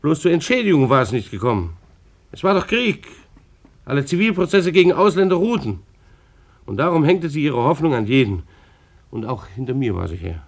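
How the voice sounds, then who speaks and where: An actor in a radio play playing a plain-spoken, law-abiding bailiff with a hesitant, conversational manner. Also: male voice, on the high side, sing-song, a little fast. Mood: regretful, narrating.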